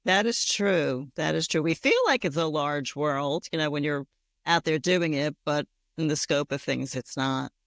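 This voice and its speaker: Gravelly-voiced woman hosting an interview. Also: low-pitched, moderate pace, no echo, studio quality. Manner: friendly, conversational. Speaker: podcast co-host.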